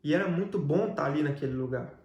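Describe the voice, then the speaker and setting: Higher-pitched Brazilian man in an echoey room. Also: fast, a little mellow, muffled recording. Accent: Brazilian Portuguese. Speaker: guest telling his life story. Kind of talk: personal religious testimony, conversational storytelling.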